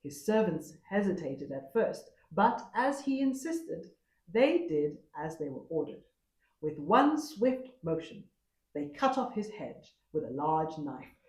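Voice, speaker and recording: A woman storyteller narrating a folktale expressively, with a dramatic, deliberate manner. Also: on the low side, sing-song, a little mellow, roomy sound, poor audio.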